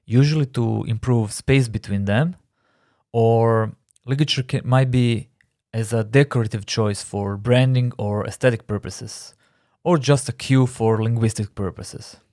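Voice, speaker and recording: A man from Croatia with a Croatian accent, a native Croatian speaker, narrating a scripted design tutorial in a measured, explanatory way with slightly non-native phrasing. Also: medium pitch, darkish tone, no echo, clean studio sound. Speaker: design instructor.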